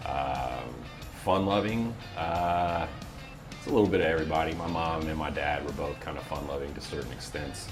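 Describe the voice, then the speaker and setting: A man's voice: very deep voice, normal speed, over music. A man who works in voiceover, chatting casually as an interview guest with a playful, wry manner. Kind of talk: casual chat.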